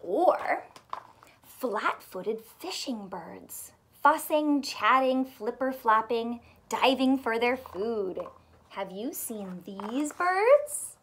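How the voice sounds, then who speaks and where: High-voiced woman reading slowly to children. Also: slight background noise. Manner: expressive, sing-song, questioning children. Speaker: teacher reading aloud to children. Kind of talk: picture-book read-aloud. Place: small furnished room indoors.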